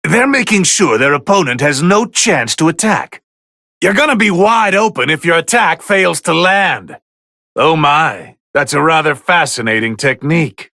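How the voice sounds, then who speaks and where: A man playing a haughty, bored god of destruction who commentates on video game fights in a condescending, sardonic, theatrical drawl with a gravelly edge. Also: fairly high pitch, expressive, a little slow, pushed and bright, no room sound, studio quality, full character voice. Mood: curious, assessing. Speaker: match commentator.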